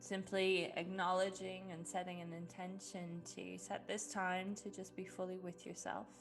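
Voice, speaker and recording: A woman leading a guided meditation in a gentle, unhurried way with long pauses. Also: on the low side, level tone. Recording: music behind the voice. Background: background music.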